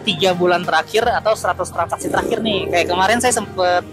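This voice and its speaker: A man chatting casually as a motorcycle taxi driver, explaining things with halting self-repeats over a helmet intercom while riding. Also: high-pitched, music in the background. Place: outdoors, riding a motorcycle through city streets. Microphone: helmet intercom headset.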